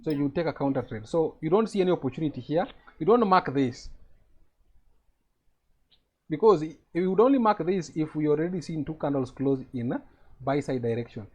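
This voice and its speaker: A man's voice: on the high side, normal speed, pauses often, darkish tone, breathy edge. A man with a Kenyan accent teaching a live trading lesson over a video call, explaining step by step and checking that his students follow.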